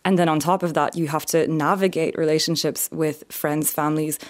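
A young adult woman from France, an author calmly explaining her experiences in an interview. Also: low-pitched. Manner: earnest, measured, explanatory. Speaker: author and survivor, interview guest. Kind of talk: reflective interview answers.

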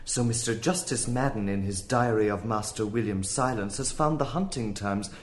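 An actor playing a fussy, courteous librarian who hesitates and repeats himself. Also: male voice, fairly low pitch, normal speed, slight background noise, lightly acted. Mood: fussy polite. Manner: hesitant, polite, full of "uh" and repeated words. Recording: roomy echo.

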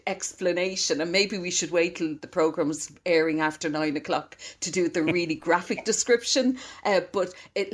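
A woman with a Dublin accent, a local historian explaining place names in a chatty radio interview, laughing now and then. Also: on the low side.

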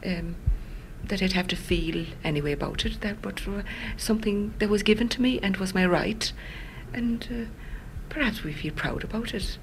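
A woman with a Connemara Irish accent, a native Irish speaker, answering an interview hesitantly, thinking aloud with many pauses and fillers. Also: medium pitch, a little hiss or hum, low-quality recording.

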